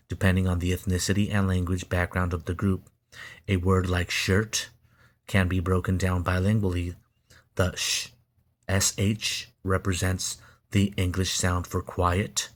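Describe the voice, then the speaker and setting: Flat, low-voiced man. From Mexican American. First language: Spanish. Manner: halting, repeats words and restarts. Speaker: video blogger. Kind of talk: reading a text aloud and commenting.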